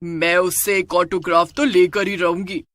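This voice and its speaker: A woman voicing a wicked witch ghost in an animated story, exclaiming in a theatrical, menacing way. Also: on the low side, moderate pace, no echo, natural voice. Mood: excited, gleeful. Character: wicked female witch ghost, gleeful then enraged. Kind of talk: animated moral story character acting.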